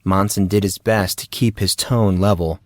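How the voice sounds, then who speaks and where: A man's voice: deep voice, steady tone, moderate pace, no echo. A man narrating a scripted story as the narrator of an audio drama.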